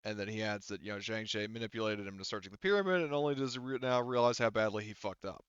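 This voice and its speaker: Game master, male, clean lowish voice, loose stop-and-start storytelling with casual swearing, slightly muffled close recording. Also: a bit bright, clear, resonant tone, no room sound. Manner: informal, halting, profane. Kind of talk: narrating a tabletop roleplaying game.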